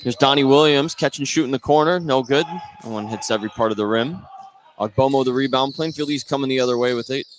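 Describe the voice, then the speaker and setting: American man calling basketball over loud gym noise. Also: medium pitch, quick pace. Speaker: play-by-play announcer. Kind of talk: live basketball radio commentary. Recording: noisy gym broadcast. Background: bouncing basketballs and gym noise.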